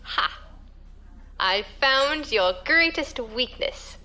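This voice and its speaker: A woman's voice: high voice, expressive, very slow, pushed and bright, slight background noise, exaggerated voice. A woman playing an inquisitive, uneasy newcomer to a space pirate crew, talking informally in an audio drama. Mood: friendly, anxious. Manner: questioning, informal. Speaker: voice actor. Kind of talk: science fiction audio drama dialogue.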